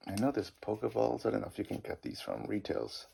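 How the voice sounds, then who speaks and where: A man's voice: on the low side, sing-song, fairly quick, vocal fry, background noise. A middle-aged man with a Boston accent, rambling casually while opening card packs and stumbling over card names. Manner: rambling, self-deprecating, fumbles card names. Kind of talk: casual pack-opening commentary.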